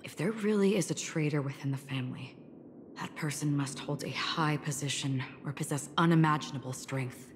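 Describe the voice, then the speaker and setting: Actress as a low-voiced, solemn woman warrior. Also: a little hiss or hum, lightly acted. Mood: calm, serious. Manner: measured, grave, formal delivery. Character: calm, solemn, enigmatic adult woman warrior in a sci-fi fantasy game. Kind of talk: video game voice acting. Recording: game audio with music behind.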